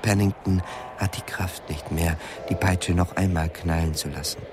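A man's voice: low-pitched, steady tone, normal speed. A man reading literary narration in a radio play. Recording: studio radio play with music underneath. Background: clearly audible music.

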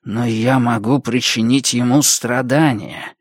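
A man voicing a small, vengeful, cursed fanatic in an audiobook story, with slow, drawn-out threats. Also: medium pitch, fairly even intonation, dry recording, studio quality, natural voice. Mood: anguished threat.

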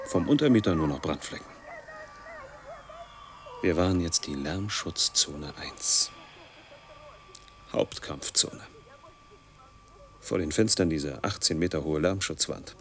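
A man's voice: rumbling low, animated, slow pace, pauses often, exaggerated voice. An actor playing an embittered, reclusive man in his forties in a radio drama monologue, speaking in brooding, clipped fragments that sink into murmurs. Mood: bitter, resolute. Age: 40s.

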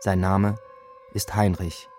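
An actor narrating a war story for a radio drama, measured, sober and factual. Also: male voice, low-pitched, flat delivery. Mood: grave. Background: loud music, gunfire effects.

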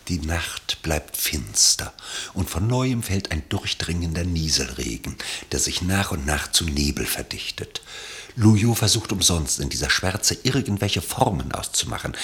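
Deep, husky male narrator, expressive. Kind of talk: scripted literary narration for radio play.

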